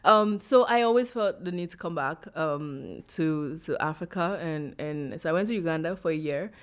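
Young woman founder, lowish voice, earnest and animated. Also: moderate pace, smooth. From Nigeria and the US. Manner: earnest, animated, many restarts. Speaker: startup founder, guest. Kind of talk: interview conversation.

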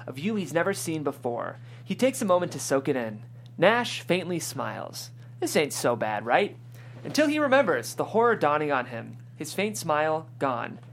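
A woman presents a script table-read and chats with her guest. Her pitch moves in a lively, animated way, and there is faint noise behind her. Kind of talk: reading screenplay narration and hosting an interview.

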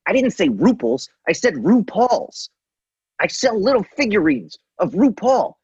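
A scheming young royal heir , as a man puts him on, snooty and puffed-up, speaking in a put-on Polish accent. His pitch sits high and leaps around theatrically. The voice is slightly husky, and the recording sounds dull and muffled. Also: sing-song, a little slow, darkish tone, dry recording, exaggerated voice. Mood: smug.

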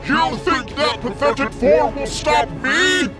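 An actor playing a giant evil dragon villain, bombastic and theatrical, ranting in an animated parody. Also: male voice, very high voice, takes it slowly. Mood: menacing, triumphant. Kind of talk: over-the-top animated parody villain acting. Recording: loud music under the voice.

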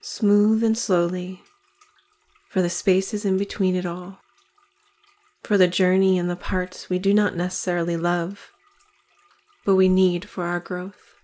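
A woman leading a guided meditation in slow, deliberate phrases with long pauses between them. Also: lowish voice, level tone, warm tone.

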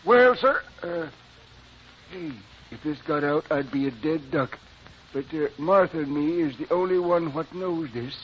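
An actor playing a gossipy, excitable small-town man in an old radio comedy, with a rustic, stammering, repetitive way of talking. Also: on the high side, a little slow. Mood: defensive, gossipy. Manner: rustic, stammering, repeats himself, chuckling. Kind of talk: old-time radio situation comedy acting. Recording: muffled, noisy old radio recording.

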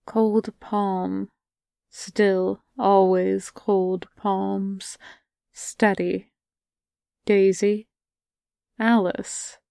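A woman reads a story in a slow, unhurried and hushed way, her voice clean and smooth. Her phrases come in broken fragments. She sits close to a dry mic with no room sound around her. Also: mid-range voice, no echo. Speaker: podfic reader. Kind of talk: scripted fan fiction reading.

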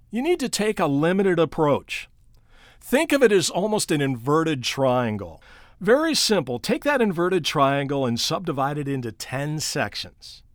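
A man's voice: fairly high pitch, dry recording, clean studio sound. A middle-aged American man hosting an instructional podcast in an emphatic, coaching way, speaking straight to the listener like a practiced sports broadcaster. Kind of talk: prepared instructional podcast narration with personal anecdotes.

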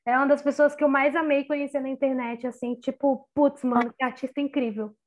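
A woman's voice: high-pitched, little pitch movement, a little fast, slight background noise. A woman hosting a podcast, chatting casually and effusively with slang. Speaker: podcast host. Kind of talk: casual chat.

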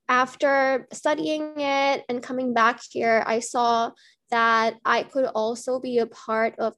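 A young woman from Pakistan, a guest in an interview, answering earnestly with many pauses. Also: on the high side, fairly bright tone.

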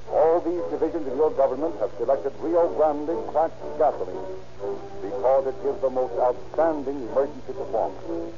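A man announcing in a formal, declamatory 1930s radio advertising style. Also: mid-range voice. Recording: muffled old-time radio recording. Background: music.

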